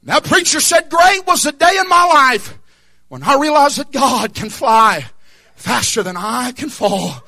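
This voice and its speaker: High, shouting young preacher. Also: male voice, lively intonation, measured pace. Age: young adult. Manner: fervent, half-singing calls of amen and hallelujah. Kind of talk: shouted revival sermon.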